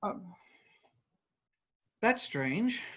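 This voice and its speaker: A woman playing a genial travelling troupe's cook in a staged play reading, chatty and animated. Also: mid-range voice, very slow, slightly rough, muffled recording, heavily acted. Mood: puzzled. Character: genial troupe cook handing out the midday meal.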